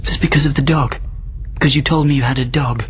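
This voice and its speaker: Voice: mid-range voice, steady tone, fast talker, a little hiss or hum, natural voice. An actor playing a stubborn, needy, long-held captive in a radio drama, with intense, volatile delivery. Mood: desperate, angry.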